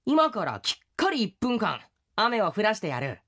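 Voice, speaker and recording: A boyish rain spirit in an audio drama. He is cocky and breezy, talking like a carefree schoolboy, and his pitch swings in a lively way. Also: normal speed, full character voice. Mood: smug.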